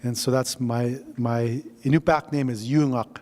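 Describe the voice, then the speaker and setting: A man from Alaska, presenting at a workshop in a relaxed, conversational way as he introduces himself. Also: medium pitch, a little slow, in a large hall. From Unalakleet, Alaska, United States. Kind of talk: moderating and self-introduction.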